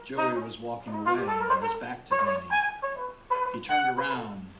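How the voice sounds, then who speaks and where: A man's voice: mid-range voice, huge pitch swings, moderate pace. A man acting as the plain, grim storyteller of a radio play, reading scripted narration. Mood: grim, measured. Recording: roomy, with music behind.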